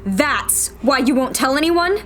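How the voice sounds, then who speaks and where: A woman's voice: high-pitched, animated, measured pace, fairly bright tone, faint music behind. A woman acting a blunt, challenging rebel in a sci-fi radio drama, pointed and insistent. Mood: exasperated.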